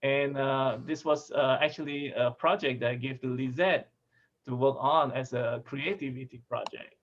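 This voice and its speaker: A man from Malaysia, a food sensory scientist, giving a relaxed talk over a video call with restarts and chuckles. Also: medium pitch, moderate pace. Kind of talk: casual video-call presentation. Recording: dull lo-fi video call audio.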